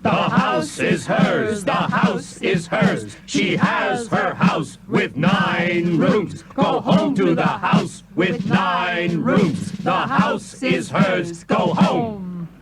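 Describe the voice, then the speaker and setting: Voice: medium pitch, animated, drawn-out words. A singer delivering repeated refrains in a children's musical story chorus. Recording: loud music and drums.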